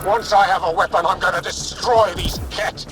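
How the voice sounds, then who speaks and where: Alien captive fighter, male actor: extremely high-pitched for a man, vengeful, urgent, under loud action music. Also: fairly even intonation, moderate pace, natural voice. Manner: urgent, forceful. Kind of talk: scripted video game acting.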